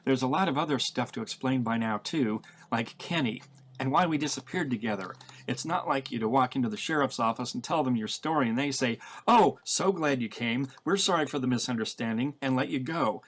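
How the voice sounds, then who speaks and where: A man's voice: medium pitch, fairly quick, slight background noise, close to an everyday voice. A man reading as a blunt, exasperated fugitive woman. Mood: urgent, sarcastic. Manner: blunt, sarcastic. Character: sharp, exasperated, tough-talking woman on the run.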